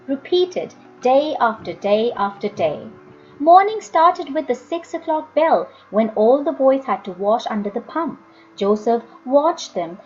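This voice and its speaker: A woman reading a story aloud as an expressive audiobook narrator. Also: fairly high pitch, dull audio.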